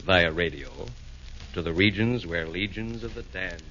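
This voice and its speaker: Low-voiced male storyteller, sing-song rhymes, 1940s lo-fi broadcast. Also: moderate pace, very noisy. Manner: theatrical, sing-song rhyming delivery. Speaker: narrator. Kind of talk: rhyming comic radio narration. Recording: dull old radio broadcast.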